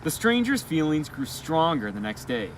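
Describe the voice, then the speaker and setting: A man reads a storybook aloud with expression, pausing for asides and questions to his listeners, recorded outdoors on a live feed. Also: a little high, sing-song, a little hiss or hum, rough recording. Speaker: elementary school educator reading a bedtime story. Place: outdoors on a school roof at night.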